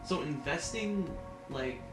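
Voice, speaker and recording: A man's voice: on the high side, expressive, takes it slowly. A man in his twenties co-hosting a podcast, chatting casually with plenty of slang and swearing. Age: 20s. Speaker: podcast co-host. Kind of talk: casual chat about films and pop culture. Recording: echoey room, music behind. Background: music.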